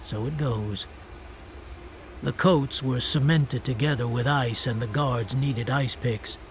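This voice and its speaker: A man narrating a radio drama from a literary script in a terse, deliberate, matter-of-fact way. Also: mid-range voice. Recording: faint ambient noise behind.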